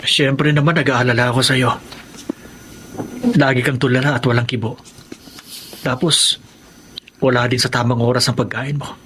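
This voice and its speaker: An actor plays a philandering husband in a radio drama. His voice sits at an average pitch, coaxing and conversational with a melodramatic edge. A slight noise runs underneath. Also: fairly even intonation, normal speed, pauses often, a bit bright, lightly acted. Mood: pleading, concerned. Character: philandering husband, pleading yet smug and teasing. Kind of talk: Tagalog radio drama acting.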